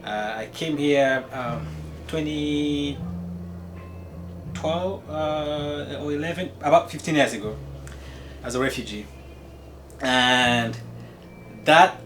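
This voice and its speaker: A man from Rwanda with a Rwandan accent, earnestly giving a personal account at a meeting in a measured, halting way. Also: on the high side, a bit bright, faint music behind. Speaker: community meeting participant, city employee.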